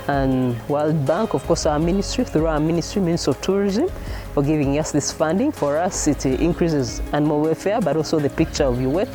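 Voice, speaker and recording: Man talking over clear music. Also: on the high side. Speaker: zoo official. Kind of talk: interview remarks.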